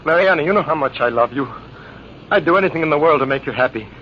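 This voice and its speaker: A faintly hissy, dull vintage radio drama. A man plays a jealous young-lover lieutenant in a raised, somewhat high register. He is formal, earnest and pressing. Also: lively intonation, fast talker, close to an everyday voice. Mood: pleading. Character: lovesick, jealous army lieutenant, insistent and stiff. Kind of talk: 1940s radio drama acting. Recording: hissy, muffled old radio recording.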